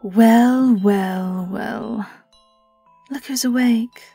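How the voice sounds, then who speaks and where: A woman's voice: fairly high pitch, drawn-out words, mellow. A British woman playing a vengeful, silky vampire in an audio horror roleplay, theatrical and taunting, slipping into whispers. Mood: teasing, predatory. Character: vengeful female vampire singer, silky, mocking, gloating predator. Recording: roomy, distant, music behind.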